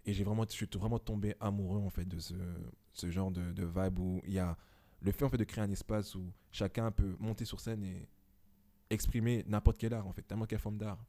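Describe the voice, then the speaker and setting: Guy in his 20s, low dark voice, chatting at home, lots of um and pauses. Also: fairly even intonation. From Belgium. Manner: reflective, many hesitations. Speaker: guest. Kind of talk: casual podcast conversation.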